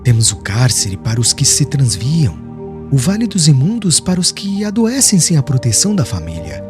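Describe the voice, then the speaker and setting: A man's voice: mid-range voice, close to an everyday voice. Man reading as a solemn preacher, sweeping intonation. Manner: eloquent, declamatory, formal. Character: serene, devout young male preacher, solemn and firm. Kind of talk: solemn audiobook oration.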